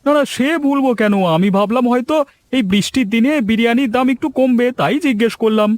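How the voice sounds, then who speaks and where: High-voiced, needling village man acted by a man. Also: fast talker, dry recording, faint music behind. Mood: wheedling. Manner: needling, complaining. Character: needling, argumentative younger village man, neighbourhood uncle. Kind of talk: cartoon voice acting in a village comedy.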